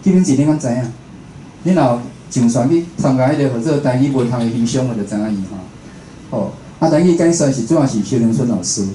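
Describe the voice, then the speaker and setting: Dark-voiced Taiwanese man in a roomy space. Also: mid-range voice, quick pace. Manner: chatty, often ends phrases with a confirming tag particle. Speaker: radio host and programme producer giving a talk. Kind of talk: informal public lecture. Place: indoor talk venue with a seated audience. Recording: roomy echo, faint background noise.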